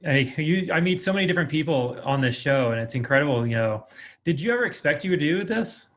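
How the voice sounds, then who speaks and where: A man's voice: on the high side, expressive, fast, a bit bright, slight background noise. A man hosting a podcast, asking questions in a casual, conversational interview style.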